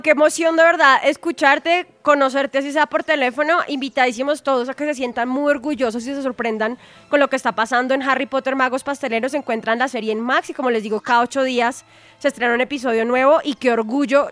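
Bright enthusiastic woman host. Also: sits high, dry recording. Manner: enthusiastic, effusive. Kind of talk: radio interview.

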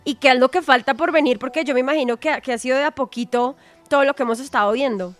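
A woman's voice: high-pitched, fast, soft background music. A woman hosting an interview, speaking in an effusive, enthusiastic way. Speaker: host. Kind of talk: radio interview.

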